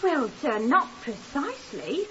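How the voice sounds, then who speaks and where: A woman's voice: high voice, big pitch swings, a little slow, bright, forceful tone, heavily acted. An actress playing a garrulous, deferential neighbour woman in a 1950s radio drama, rambling on eagerly. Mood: chatty, eager. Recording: hissy, muffled 1950s radio recording.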